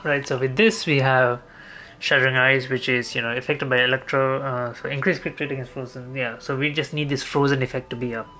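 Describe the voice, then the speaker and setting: A man's voice: mid-range voice, level tone, brisk, bright, forceful tone. A man giving casual gameplay commentary, thinking aloud in a hesitant mutter. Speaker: game streamer. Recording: game music behind the voice. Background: video game music.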